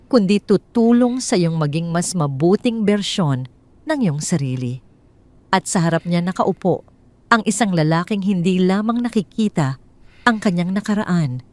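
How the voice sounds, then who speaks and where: A woman with a Filipino accent narrating a scripted dramatic story in measured, sentimental phrasing. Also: lowish voice, darkish tone, no echo.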